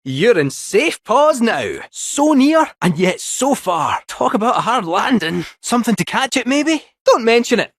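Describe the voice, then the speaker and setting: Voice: mid-range voice, big pitch swings, a little slow, few pauses, no room sound, clean studio sound. An actor voicing a jaunty, theatrical robot cat with a Scottish accent in a video game, helpful, folksy and a little fussy. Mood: plucky, cheery. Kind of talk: animated video game character acting.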